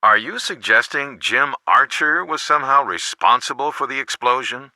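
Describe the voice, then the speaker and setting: A bright-voiced, expressive, caring father. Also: mid-range voice, dry recording. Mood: cautioning, surprised. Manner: fatherly, measured, advisory. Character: caring, cautious middle-aged father, advising his daughter. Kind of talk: scripted game voice acting.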